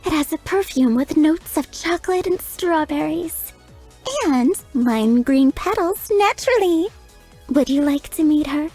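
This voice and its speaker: Giggly flirty maid. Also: female voice, sits high, expressive, a little slow, dark tone, soft background music. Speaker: chambermaid character. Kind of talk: video game voice lines.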